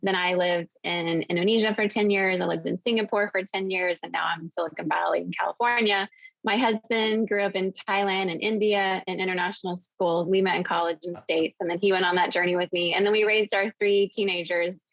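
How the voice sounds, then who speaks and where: A woman's voice: on the low side, fairly even intonation, fairly quick, gravelly, dry recording, lo-fi sound. A woman in her forties, born in the US and raised in Venezuela, speaking as an interview guest in an articulate, storytelling way. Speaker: guest, podcast host. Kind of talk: interview conversation.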